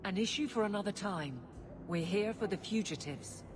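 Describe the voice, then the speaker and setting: A woman's voice: mid-range voice, moderate pace, close to an everyday voice. An actress playing a dutiful female guard captain who speaks in a firm, formal, no-nonsense way. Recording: game audio with noisy ambience.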